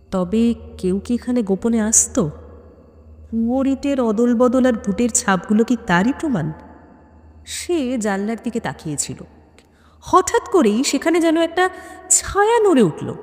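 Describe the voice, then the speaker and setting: A woman's voice: a little high, a little mellow, in a large hall. A woman reading a scripted suspense story as narrator, with a dramatic, suspenseful delivery.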